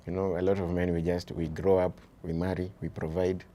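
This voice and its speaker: A man with a Kenyan accent and a very deep voice, talking earnestly as an interview guest about raising his sons, often dropping "you see" and shifting into Swahili. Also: flat delivery, fairly quick, warm tone, a little hiss or hum. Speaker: guest, father of boys. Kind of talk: reflective conversational interview.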